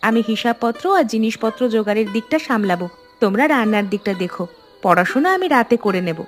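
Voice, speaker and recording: A woman playing a caring, practical college-age daughter in an animated story, speaking in an earnest, reassuring way. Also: fairly high pitch, quick pace, natural voice. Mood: helpful, determined. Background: background music.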